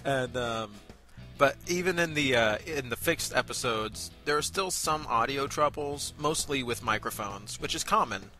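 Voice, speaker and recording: A man co-hosting a casual review show, giving measured, agreeable commentary with some hesitation. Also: mid-range voice, expressive, bright, forceful tone, soft background music. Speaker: co-host of an amateur review show.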